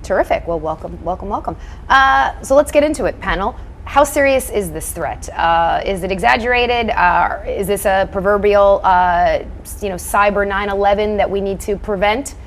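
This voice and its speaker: A woman's voice: mid-range voice, moderate pace, slight background noise. Female American talk show host, thirties, mid-range voice, moderating a panel in a studio. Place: television studio.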